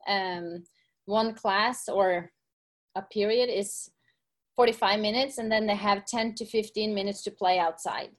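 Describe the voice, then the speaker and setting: Brief: middle-aged Norwegian woman, accented English, unhurried, pauses to search for words. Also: medium pitch. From Norway. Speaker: podcast guest, gym owner and coach. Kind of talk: casual interview.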